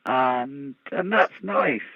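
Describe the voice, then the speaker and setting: A woman with a Birmingham accent and a gravelly voice, chatting casually and laughing easily. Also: rumbling low, animated, drawn-out words, no echo. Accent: Birmingham English. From English Midlands. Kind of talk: casual chat. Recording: dull lo-fi dry recording.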